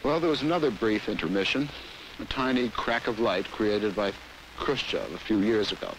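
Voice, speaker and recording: A man's voice: lowish voice, moderate pace, fairly bright tone, low-quality recording. Formal male television interviewer. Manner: probing, formal questions. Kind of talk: broadcast interview questions. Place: television studio. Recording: 1960s film with faint hiss.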